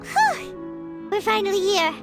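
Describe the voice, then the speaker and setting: Squeaky little fairy companion. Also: female voice, big pitch swings, takes it slowly, over loud music, full character voice. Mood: curious, earnest. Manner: squeaky, refers to self in third person. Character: tiny childlike fairy companion, female, earnest and excitable. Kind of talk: English game dub acting.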